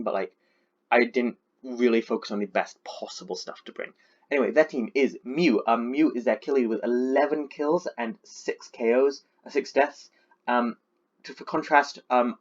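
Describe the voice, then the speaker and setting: A man's voice: mid-range voice, highs cut off. A man with a sore throat from being sick, chatting casually and rambling with lots of fillers as he breaks down his team. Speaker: competitive Pokemon league coach.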